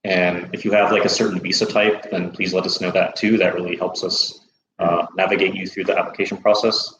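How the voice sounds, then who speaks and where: An American man hosting a casual livestream Q&A, relaxed and chatty, laughing easily. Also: sits low, brisk, reverberant, background noise. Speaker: host.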